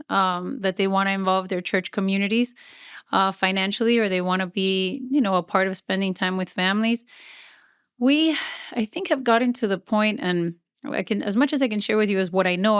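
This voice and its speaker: Chatty woman with a smooth, dry voice. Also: medium pitch, moderate pace, fairly bright tone, dry recording. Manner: chatty and self-deprecating, with frequent fillers. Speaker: nonprofit CEO, guest. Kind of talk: casual podcast interview.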